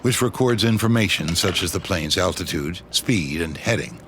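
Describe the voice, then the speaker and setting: A man's voice: low-pitched, lively intonation, normal speed, a bit bright, slight background noise. A man reading scripted, dramatic documentary narration as the narrator. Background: dramatic music bed.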